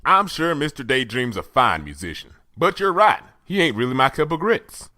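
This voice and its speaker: A man's voice: medium pitch, very animated, moderate pace, pushed and bright, no echo, clean studio sound, pitched above the performer's own voice. A man voicing a down-to-earth farm pony mare with a folksy, plain-spoken country drawl.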